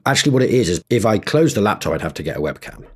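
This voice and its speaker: A man co-hosting a podcast in casual conversation about tech, agreeable but measured in how he puts things. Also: on the low side, very animated, a little mellow, slightly rough. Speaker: podcast co-host.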